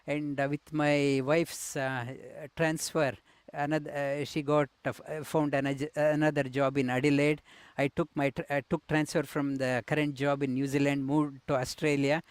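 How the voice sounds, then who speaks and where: Middle-aged man from India, halting with fillers, slightly high voice, dry close sound. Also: level tone, smooth, no room sound. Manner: halting, many fillers and restarts. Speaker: yoga teacher. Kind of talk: personal life story interview.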